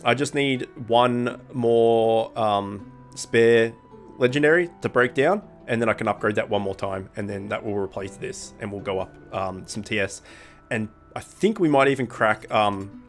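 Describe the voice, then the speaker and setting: Stuffy-nosed young guy with a cold chatting over a game, lowish voice, lots of ums, soft music under it. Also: a little slow. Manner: rambling, many ums and self-corrections. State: congested with a cold, blocked nose. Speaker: gaming channel host. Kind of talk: casual gameplay commentary. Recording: faint music bed underneath.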